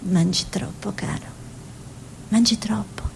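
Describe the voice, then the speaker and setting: An actress playing an impulsive, gossipy, tenderly scolding artist wife in an Italian radio drama, with a theatrical delivery. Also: female voice, mid-range voice, measured pace, creaky, a little hiss or hum. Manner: theatrical, shifting between exclamations and soft musing.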